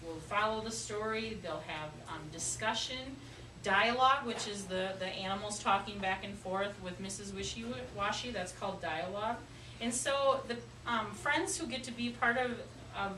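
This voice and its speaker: A woman speaks as an elementary teacher leading a classroom lesson. She is encouraging and questioning, pausing as she finds her words. Her voice sits back from the mic in an echoey room with clear background noise. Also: medium pitch. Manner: encouraging, questioning, hesitant phrasing with pauses. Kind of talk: classroom lesson talk to young children. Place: indoor classroom. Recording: roomy, noisy classroom, distant mic.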